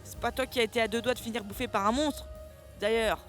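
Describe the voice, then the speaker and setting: A woman's voice: a little high, steady tone, fast talker, faint music behind, lightly acted. An actress playing a blunt, sarcastic, hot-tempered young woman in a French sci-fi audio comedy, grumbling in slang. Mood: indignant.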